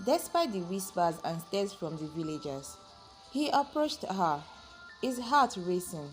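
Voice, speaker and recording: Woman storyteller over soft music. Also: fairly low pitch, big pitch swings, measured pace. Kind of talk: scripted folktale narration.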